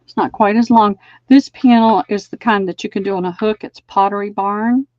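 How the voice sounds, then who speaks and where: A woman's voice: mid-range voice, steady tone, normal speed, no room sound. A middle-aged Southern woman talks through her wares in an easy, folksy way, measuring and describing as she goes. The sound is dry and close, with a dull lo-fi stream quality. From Georgia, US. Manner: chatty, folksy, describes fabric while measuring. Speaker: live sale host. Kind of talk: casual live-stream selling.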